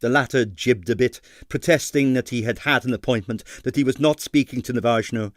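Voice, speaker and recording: A man reading a comic 1930s detective novel aloud as dramatised narration, with expressive, wry delivery. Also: medium pitch, fairly quick, dry recording, clean studio sound. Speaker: audiobook reader.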